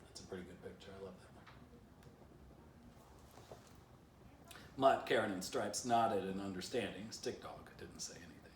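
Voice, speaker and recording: A man's voice: sits low, normal speed, long pauses. A man narrating a children's storybook as its author, with playful asides straight to the listener. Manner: playful direct address to the listener. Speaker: author reading aloud. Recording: roomy, distant mic, faint noise.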